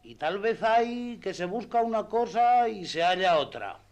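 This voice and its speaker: A chatty, down-to-earth squire for radio theatre. His voice sits somewhat high for a man. He explains things with folksy self-importance and boasts on his master's behalf. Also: lively intonation, measured pace, darkish tone. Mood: hopeful.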